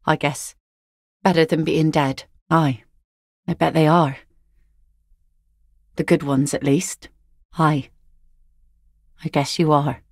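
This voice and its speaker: A weary, working-class fisherwoman talks in a blunt, matter-of-fact way. Her voice sits a little low and has a gravelly rasp. The recording is close and dry, like a game studio booth. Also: steady tone, slow pace, pauses often, a little mellow, no room sound, exaggerated voice. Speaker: game character voice lines. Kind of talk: video game voice acting.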